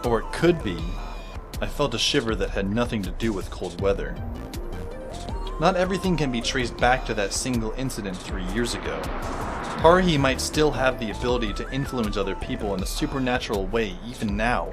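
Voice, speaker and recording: A man plays a teenage boy narrating an audio drama in the first person. He is wry and reflective, at a middling pitch for a male voice. Music runs clearly behind him. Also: expressive, fairly quick, natural voice. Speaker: narrator and lead character. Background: music under the voice.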